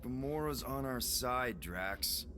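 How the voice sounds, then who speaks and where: A man's voice: medium pitch, expressive, takes it slowly, pushed and bright, slight background noise. A man playing a laid-back, exasperated space team leader in a video game, speaking casually and wearily. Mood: weary, coaxing. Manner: casual, weary. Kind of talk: naturalistic video game acting.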